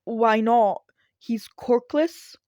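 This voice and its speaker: A woman voicing an excitable, self-important male hero, blustering and incredulous, in a story reading. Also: a little high, very slow, no room sound, dull audio. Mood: incredulous, arguing.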